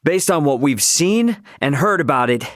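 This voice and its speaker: A man's voice: fairly high pitch, animated, moderate pace, no echo, clean studio sound, close to an everyday voice. An actor playing a brash young male starship captain in a video game, with a casual, plainspoken delivery. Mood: annoyed.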